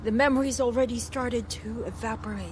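A woman's voice: fairly high pitch, steady tone, brisk, background noise, lightly acted. An actress playing a blunt, spiritual, resolute woman survivor in a video game cutscene, with a matter-of-fact delivery. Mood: frank, wry.

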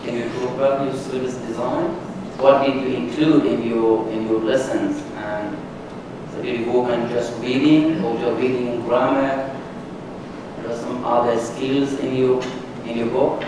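A man's voice: mid-range voice, steady tone, normal speed. Man, audience question, rephrasing, long hall reverb, far from the mic. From Iran. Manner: searching, rephrasing his questions. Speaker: audience member asking questions. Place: lecture theatre audience. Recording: echoey hall from far off, background noise.

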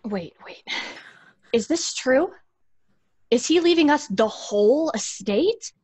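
Anxious young sister, high voice. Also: female voice, expressive, takes it slowly, a little mellow, husky, dry recording, heavily acted. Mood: disbelieving. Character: anxious, scolding young daughter, the more level-headed sister. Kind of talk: audio horror drama acting. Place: home, on a video call.

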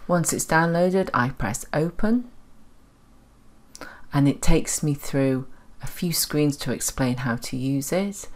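Low-voiced woman presenting a tutorial. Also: lively intonation, slow. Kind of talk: explanatory software tutorial voice-over.

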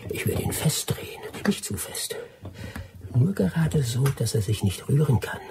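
An actor playing a bitter, scheming colonial-era adventurer in a radio drama, theatrical and sardonic. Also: male voice, fairly low pitch, gravelly, background noise, exaggerated voice. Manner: theatrical, muttering and gloating. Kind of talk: radio drama acting with first-person narration.

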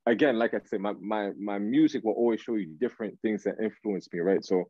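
A low-voiced man talks loosely as a guest on a video call. He is slangy and halting, circling back as he hunts for words. The sound is close and dry but a bit lo-fi. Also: warm tone, dry recording. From Canada, raised in Toronto. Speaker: guest R&B singer. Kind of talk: casual interview chat. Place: small room, indoors. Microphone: video call, device at arm's length.

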